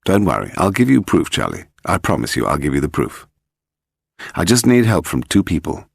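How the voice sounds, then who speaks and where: A man plays a suave detective in an audiobook mystery. He is composed and authoritative, reasoning aloud with assurance in a low voice that moves with lively pitch. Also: normal speed, vocal fry, breathy edge, no echo, clean studio sound, heavily acted. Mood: confident.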